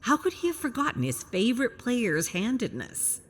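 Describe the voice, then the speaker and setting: A woman's voice: a little high, big pitch swings, normal speed, slight background noise. A woman reading scripted science fiction story narration in the manner of an audiobook.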